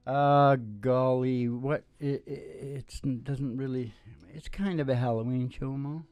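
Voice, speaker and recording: A middle-aged man with a dark, mellow voice rambles through improvised stage banter. His delivery is folksy and halting, with sentences that trail off, and the sound is close and dry. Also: medium pitch, fairly even intonation, clean tone, no echo. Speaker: podcast host and singing DJ. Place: small indoor stage with curtain backdrop. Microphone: stand microphone, close.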